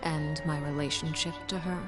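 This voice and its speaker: Woman playing low-voiced, cool, commanding villainess. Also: fairly even intonation, over music, natural voice. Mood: cool condescension. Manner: formal, measured, condescending. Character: cool, commanding villainess negotiator, composed and condescending. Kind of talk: anime game dialogue.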